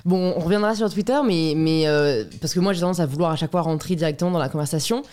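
A woman's voice: low voice, fast, a little mellow. A woman in her twenties speaking as a podcast host and interviewer. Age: 20s. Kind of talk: scripted introduction and conversational interview.

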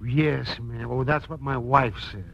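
An actor playing a meek, apologetic, hesitant hotel newsstand clerk in a 1950s radio comedy. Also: male voice, mid-range voice, fairly even intonation, a little slow, gravelly. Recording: dull, muffled 1950s radio recording.

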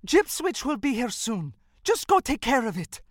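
A narrator voicing an unimpressed, snappish woman in a storybook, flat, curt and sarcastic. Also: mid-range voice, normal speed, no echo, clean studio sound, pitched above the performer's own voice.

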